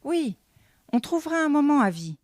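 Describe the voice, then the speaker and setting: A woman playing a kind, encouraging schoolteacher in an audio drama, gently addressing a group of young children. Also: high-pitched, big pitch swings, normal speed, no echo, studio quality. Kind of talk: French audio fiction drama.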